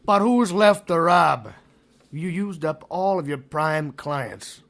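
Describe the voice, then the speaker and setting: A man's voice: fairly high pitch, animated, slow, gravelly, fairly bright tone, full character voice. An actor in a radio mystery playing a cautious, deferential criminal henchman. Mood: worried. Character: cautious male henchman, deferential and nervous about risk. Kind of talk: radio mystery drama acting.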